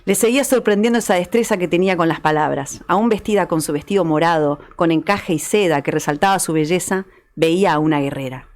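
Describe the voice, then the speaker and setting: A woman speaks in a somewhat low register. She is a guest author, moving between dramatized historical narration and friendly studio talk. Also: a little fast, a little mellow. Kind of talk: dramatized storytelling narration and chat.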